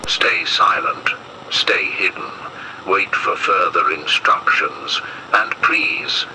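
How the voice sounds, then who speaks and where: A man playing a grave emergency broadcast announcer in an analog horror piece, solemn and measured, with an ominous delivery. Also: low-pitched, background noise.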